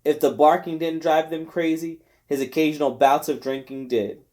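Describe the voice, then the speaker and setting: Higher-voiced man reading a novel aloud. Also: fairly even intonation, moderate pace, a little mellow. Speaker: volunteer reader of a novel. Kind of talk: scripted audiobook narration.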